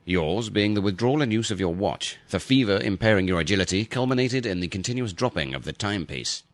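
A man acts out an arrogant Victorian detective for an audio drama. The voice is very low and dryly recorded. He lays things out formally and at length, with cool condescension. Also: lively intonation, fast, no room sound, lightly acted. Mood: coolly deductive.

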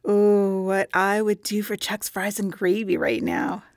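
A blunt, wry woman who works at a clinic and needles old friends. Her mid-pitched voice is dry and casual, in clipped, natural radio drama delivery. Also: flat delivery, measured pace, creaky, no room sound. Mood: nostalgic. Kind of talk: naturalistic audio drama acting.

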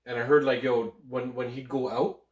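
A man's voice: mid-range voice, level tone, moderate pace, roomy sound, recorded from a distance, poor audio. Slangy guy, a bit stuffed up. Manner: rambling, slangy, laughs easily. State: slightly stuffed up. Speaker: podcast co-host. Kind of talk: casual chat.

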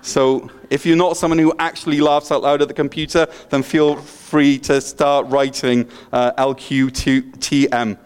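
A man's voice: medium pitch, little pitch movement, unhurried, hardly any pauses, smooth. A middle-aged man from Wales with a Welsh accent, preaching a sermon in a joking, self-deprecating way, with a slight stutter and frequent restarts. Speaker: pastor.

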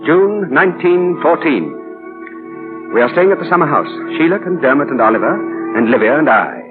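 A man's voice: fairly high pitch, sing-song, fairly quick. An actor playing a troubled, earnest middle-aged father with a hesitant manner in a 1940s radio drama. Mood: reflective narration. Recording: muffled 1940 radio broadcast with faint music.

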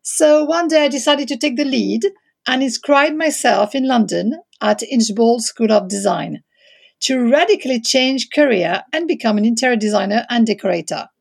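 A woman's voice: fairly high pitch, moderate pace, vocal fry. A middle-aged woman from France with a French accent, answering interview questions hesitantly and searching for English words. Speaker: interior designer guest.

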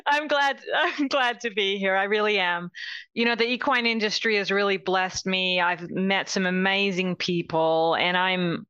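A woman's voice: medium pitch, bright, forceful tone, clear, resonant tone, no room sound, dull audio. Upbeat Australian woman in her forties. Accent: Australian with a light Texan mix. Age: 40s. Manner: upbeat, laughs easily. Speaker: guest, horse industry director. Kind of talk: casual interview talk.

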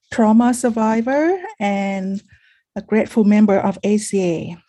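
A middle-aged woman from Thailand sharing a personal testimony at a support meeting in a halting, reflective way. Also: medium pitch, warm tone.

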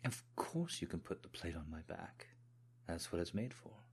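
A man's voice: low-pitched, sing-song, normal speed, husky, heavily acted. A man voicing a devoted, obsequious manservant with a put-on British accent, speaking in a deferential, politely formal way in an intimate audio roleplay. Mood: soft, obliging.

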